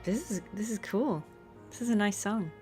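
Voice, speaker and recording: A woman's voice: medium pitch, moderate pace, over music. A woman live streaming a game, chatting casually in a musing, appreciative way.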